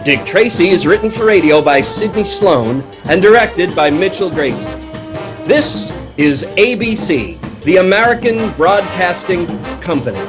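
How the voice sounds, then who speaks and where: An eager old-time radio announcer, played by a man, pitched high and swooping widely. He sells with a bouncy, theatrical, folksy delivery in 1940s style. Music plays behind him. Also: animated, measured pace, dull audio. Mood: dramatic, announcing. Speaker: announcer and circus owner actor.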